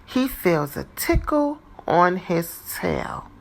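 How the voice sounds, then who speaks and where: Slow, animated woman reading a storybook. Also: lowish voice, gravelly. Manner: deliberate, animated, dramatizing for young children. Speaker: teacher reading a picture book aloud. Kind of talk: expressive children's storybook read-aloud.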